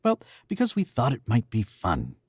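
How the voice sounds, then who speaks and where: A man's voice: mid-range voice, wildly swooping pitch, moderate pace, a little mellow, slightly rough, dry recording, clean studio sound. An actor playing a smug, glib young man in an old-time radio drama, breezy and cocky.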